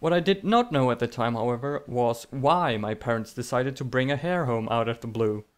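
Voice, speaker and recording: Man, mid-pitched voice, comedic storytelling, theatrical, mock-dramatic, sarcastic. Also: moderate pace, smooth. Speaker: storytelling video creator.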